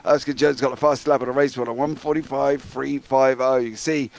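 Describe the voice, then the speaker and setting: Gravelly excitable British race caller. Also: male voice, medium pitch. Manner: excitable play-by-play, calling names fast. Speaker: race commentator. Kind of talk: live sim racing commentary.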